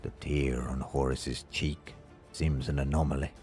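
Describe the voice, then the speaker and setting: Male narrator for dark fiction: bottomless low register, slow measured pacing, grave and dramatic. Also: flat delivery. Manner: solemn, measured delivery. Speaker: audiobook narrator. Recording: music under the voice. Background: background music.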